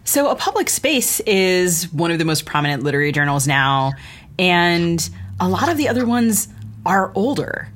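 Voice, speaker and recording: A woman's voice: lowish voice, sing-song, moderate pace. A woman co-hosting a literary podcast, asking the guest friendly, curious questions. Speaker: podcast co-host. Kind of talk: podcast interview.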